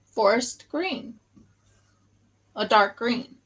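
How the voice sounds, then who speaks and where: A woman's voice: on the high side, expressive, drawn-out words, a bit bright. An American woman hosting a resin craft livestream, chatting casually and thinking aloud with hesitant fillers as she works. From United States. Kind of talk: casual chat.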